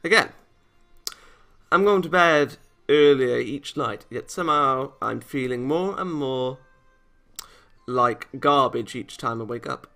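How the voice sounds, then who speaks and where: Gravelly, bright-voiced man reading aloud. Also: mid-range voice, takes it slowly. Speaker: let's-play narrator. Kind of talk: reading a visual novel aloud. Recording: music behind the voice. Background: game music.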